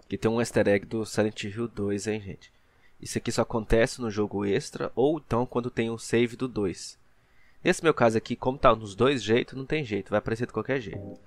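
Low-voiced young Brazilian man gaming. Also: fairly quick. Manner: informal, slangy, joking. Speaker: gaming video host. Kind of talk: casual gameplay commentary. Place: small room indoors. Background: game ambience.

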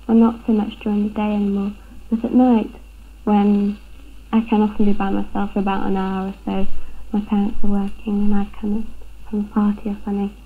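Young Englishwoman, interviewee, hesitant delivery in a narrow pitch range; tinny 1970s film recording. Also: completely flat delivery, a little fast, breathy edge. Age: young adult. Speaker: interviewee, burglary victim. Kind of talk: personal account in a documentary interview. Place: room at home, indoors.

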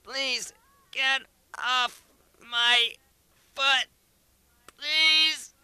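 Man playing a high-pitched, bright, glib trader. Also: fairly even intonation, very slow, dry recording, exaggerated voice. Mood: pained, pleading. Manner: pompous, smooth-talking, patronizing. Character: smug, glib male commodities trader, patronizing salesman. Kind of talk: radio comedy sketch acting.

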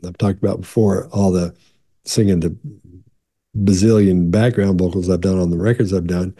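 A gravelly man in his sixties talks straight to his webcam from a home office, loose and conversational, circling back to reassure the viewer. His voice sits low and very dark, with a husky edge. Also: fairly even intonation, normal speed. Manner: conversational, repeats and restarts, leans into reassurance. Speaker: body language expert. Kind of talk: casual Q&A talk to camera.

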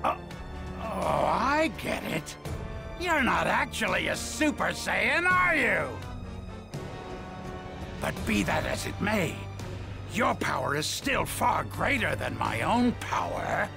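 A boastful, flamboyant alien commander from an anime game. He drags out his words very slowly with a gravelly creak. The delivery is theatrical and scheming, over prominent music. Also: sits high, sing-song, full character voice. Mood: wary, probing. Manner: theatrical, shouting, gloating. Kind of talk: anime game dub acting.

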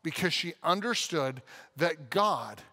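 A man in his thirties preaches from a church stage, talking through a headset mic in a fairly high voice. He is animated and casual, and now and then he bursts into loud acted-out quotes. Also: takes it slowly. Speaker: pastor. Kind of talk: sermon.

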